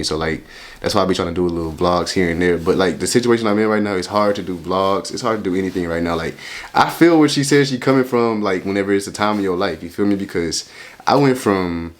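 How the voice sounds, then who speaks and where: A young man in his twenties reacting to a video, in casual, rambling, slangy talk that keeps asking "you feel me?". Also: deep voice. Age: 20s.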